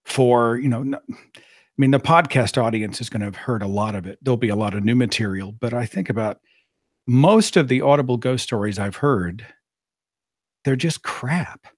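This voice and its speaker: A middle-aged man with a raspy voice, hosting a casual live-stream chat and rambling along with plenty of fillers. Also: medium pitch, moderate pace, no room sound, studio quality. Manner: rambling, conversational, with frequent fillers. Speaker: podcast host.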